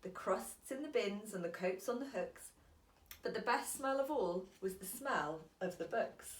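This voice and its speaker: A woman reading a children's storybook aloud as a teacher, in an expressive, deliberate storytelling manner. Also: mid-range voice, reverberant.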